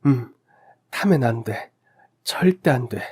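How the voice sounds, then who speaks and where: A man voicing a grieving dead parent who gives a slow, solemn warning in a dream. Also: medium pitch, animated, darkish tone, breathy edge. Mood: grieving, urgent.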